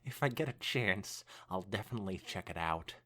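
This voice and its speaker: A man voicing a skeptical, bookish female pony friend, questioning and measured, in a fan fiction reading. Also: low voice, expressive, pushed and bright, full character voice. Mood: noncommittal.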